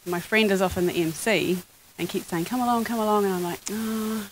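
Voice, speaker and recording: A woman's voice: medium pitch, fairly even intonation, brisk, poor audio. Woman, audience question, chatty, self-mocking, faint hiss. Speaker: audience member. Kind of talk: asking a question from the floor.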